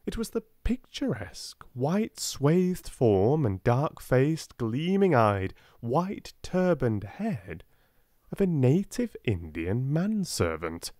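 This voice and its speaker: A man with a British accent reading a storybook aloud in a soft-spoken, gentle, expressive way. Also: mid-range voice, takes it slowly, no room sound, clean studio sound. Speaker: audiobook narrator.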